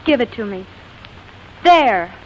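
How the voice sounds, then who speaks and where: An actress playing a spirited, quick-tempered woman in a 1940s radio comedy, with dramatic delivery. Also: fairly high pitch, very animated, muffled recording. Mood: sharp, cross. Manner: tart, dramatic. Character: spirited, quick-tempered woman performer, tender when in love. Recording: faintly hissy 1940s radio broadcast recording.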